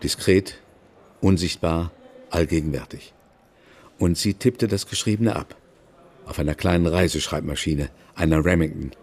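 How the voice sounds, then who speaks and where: A man playing an older, haunted novelist who confides in a measured way in a German radio drama. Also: low-pitched, darkish tone. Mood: wistful, nostalgic. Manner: measured, confessional storytelling.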